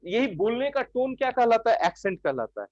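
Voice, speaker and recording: A man's voice: very high voice, sing-song, a little fast, a little mellow, no echo. A male teacher giving an online English vocabulary lesson in Hindi, who repeats his own questions and keeps pressing the class for yes-or-no answers. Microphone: online class microphone. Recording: dull, dry online lecture audio.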